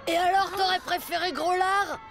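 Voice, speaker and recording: Give me a swaggering cartoon school bully, a high, mocking boy's voice with music behind. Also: steady tone, normal speed. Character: sneering, boastful schoolboy bully. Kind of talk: French animated series dubbing.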